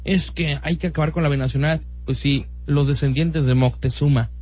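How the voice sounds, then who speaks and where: A man's voice: on the high side, fairly even intonation, moderate pace, darkish tone, slightly rough. Emphatic Mexican man on the radio. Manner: opinionated, emphatic, rhetorical questions. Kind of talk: casual radio talk. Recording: dull lo-fi radio sound with music.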